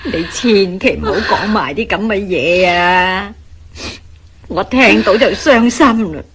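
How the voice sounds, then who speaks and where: A woman's voice: mid-range voice, takes it slowly, slight background noise. A woman with a Hong Kong Cantonese accent playing an outwardly solicitous, scheming woman in a radio drama, coaxing and exclamatory. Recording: loud music under the dialogue.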